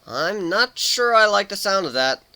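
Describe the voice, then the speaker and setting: A man's voice: high voice, animated, unhurried, sharp tone, clean tone, voice pushed up, full character voice. A man playing a grumpy young male pegasus pony who mumbles and protests, in a cartoon fan fiction reading. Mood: wary, indignant.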